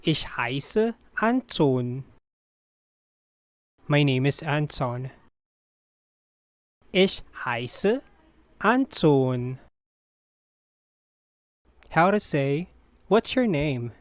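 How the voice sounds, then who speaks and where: A teacher's voice slowly repeating short phrases in a language drill, each one said clearly and evenly. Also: mid-range voice, big pitch swings, no room sound. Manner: deliberate, evenly paced repetition of short phrases.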